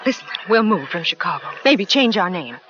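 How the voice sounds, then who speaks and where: An actress playing a worried wife who also narrates, in a vintage radio drama, anxious and stammering. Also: female voice, lowish voice, big pitch swings, fairly quick, a bit bright, soft background music. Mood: pleading. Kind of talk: 1940s radio acting. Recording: dull lo-fi old radio recording.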